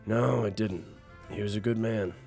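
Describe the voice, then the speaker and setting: A man playing a stern older boss and father in a noir audio drama, gravelly, imperious and measured. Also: on the low side, fairly even intonation, mellow, soft background music. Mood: guarded. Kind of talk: noir radio drama dialogue.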